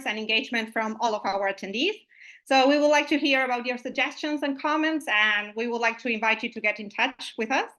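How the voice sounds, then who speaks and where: A woman's voice: on the high side, sharp tone. A woman from Uruguay, opening an online meetup as its host, friendly and full of "uh" and "I mean" fillers, over a video call microphone.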